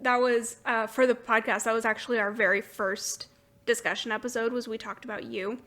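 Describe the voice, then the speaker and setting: A woman's voice: on the high side, steady tone, a little fast, fairly bright tone. A woman hosting a podcast, chatting casually in a gushing, enthusiastic way. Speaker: podcast host. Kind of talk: casual chat about books and shows.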